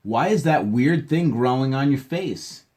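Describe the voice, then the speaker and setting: Curious, tactless storybook questioner, read by a man, pitched up from his everyday talk, exaggerated and wondering. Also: animated, measured pace, a little mellow, lightly acted. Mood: nosy wonder. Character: curious, blunt letter-writer questioning odd animals. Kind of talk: children's storybook read-aloud.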